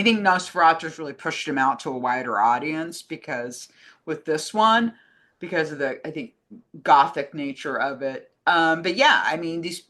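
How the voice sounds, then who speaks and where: A woman's voice: deep voice, pushed and bright. A woman co-hosting a casual film discussion, giving opinions in loose, trailing phrases. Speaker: co-host, film foundation communications director.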